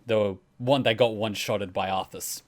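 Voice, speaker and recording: A man's voice: lowish voice, fairly even intonation, normal speed. A man hosting a reaction video, explaining game lore in casual commentary.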